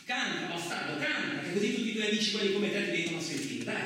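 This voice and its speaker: A man's voice: high voice, expressive, quick pace, pushed and bright. A man playing a boastful, hot-tempered truck driver, in a colloquial theatrical radio monologue full of muttered asides. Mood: taunting, menacing. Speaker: actor. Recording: very echoey, distant, lightly noisy room.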